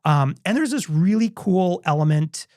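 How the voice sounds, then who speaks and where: A man with a slightly high voice chats casually as a podcast co-host. His manner is laid-back and informal, with loose stop-start phrasing. The recording is dry and close, with very little room sound. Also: animated, normal speed, a little mellow, no echo. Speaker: university faculty member. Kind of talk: casual chat.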